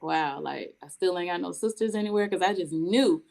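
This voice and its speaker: Woman, low gravelly voice, chatty storyteller, laughs often. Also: normal speed, a little mellow. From Mississippi, raised in North Carolina. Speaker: guest, spoken word poet. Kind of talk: casual interview chat.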